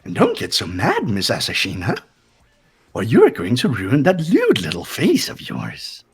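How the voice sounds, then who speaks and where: A man's voice: mid-range voice, wildly swooping pitch, moderate pace, breathy edge, faint music behind, exaggerated voice. A man voicing a gleefully taunting villainous toy bear mascot in a fan-voiced game, mocking and theatrical. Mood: mocking glee. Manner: mocking, theatrical, sing-song.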